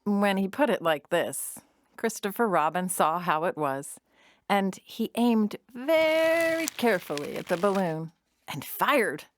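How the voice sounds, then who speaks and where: A woman narrating a children's storybook audio drama in an expressive storytelling manner. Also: mid-range voice, unhurried, natural voice.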